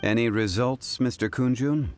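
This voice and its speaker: A man voicing a calm, courteous and learned gentleman in an anime-style video game, speaking in a measured, formal way. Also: mid-range voice, a little hiss or hum, natural voice. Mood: composed. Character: composed, scholarly, ancient-souled gentleman consultant, calm and courteous.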